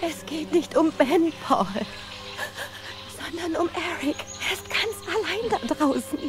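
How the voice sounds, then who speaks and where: A woman's voice: very high-pitched, animated, measured pace, music in the background. A woman acting a distraught, pleading mother searching for her lost son in a German audio drama, with emotional urgency. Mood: anguished.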